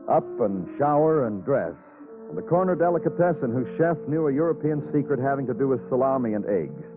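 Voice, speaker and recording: An actor playing a weary, brooding police detective in an old radio crime drama, terse in talk and moodily poetic. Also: male voice, medium pitch, sing-song, fairly quick, phone-quality sound, music in the background, close to an everyday voice. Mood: brooding, wistful. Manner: terse replies, moody poetic narration. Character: weary, laconic, brooding male police detective narrating his case. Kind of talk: 1940s-50s radio noir acting. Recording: thin old radio broadcast recording.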